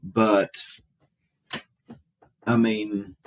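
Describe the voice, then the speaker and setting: A man hosting a casual livestream, talking to viewers in a halting, hesitant way with repeated phrases and a husky voice. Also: on the low side, level tone, slight background noise, rough recording.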